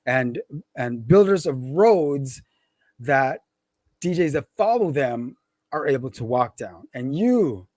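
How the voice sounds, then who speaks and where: A man's voice: mid-range voice, expressive, slow pace, darkish tone, no echo. A man hosting an interview podcast, talking in a hype, emphatic way with hip hop slang. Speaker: podcast host.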